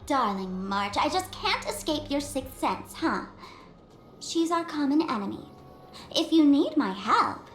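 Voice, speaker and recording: An elegant, enigmatic game character, teasing and theatrical. Her high voice moves slowly in a roomy space with faint music. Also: sing-song, a bit bright, a little hiss or hum, full character voice. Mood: playful. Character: sly, elegant, enigmatic woman who speaks in riddles. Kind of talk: video game voice acting.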